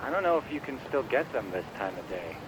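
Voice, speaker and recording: A man's voice: mid-range voice, very animated, fairly quick, close to an everyday voice. Man acting a quirky, geeky computer whiz, noisy background. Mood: impressed, teasing. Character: geeky, chuckling, eager male computer-search expert. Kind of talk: video game cutscene dialogue.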